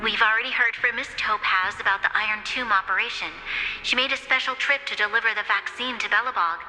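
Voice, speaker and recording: Game role: dignified woman leader, highish forceful voice, earnest and formal, reverberant. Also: quick pace, faint music behind. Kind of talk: video game voice acting.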